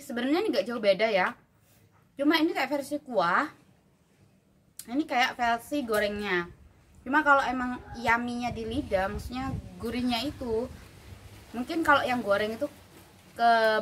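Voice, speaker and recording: A young woman from Indonesia, reviewing food in a relaxed, chatty way, with many fillers and tasting hums. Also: a little high. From Java, Indonesia. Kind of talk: casual food review vlog. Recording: noisy home recording. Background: clear background noise and clicks.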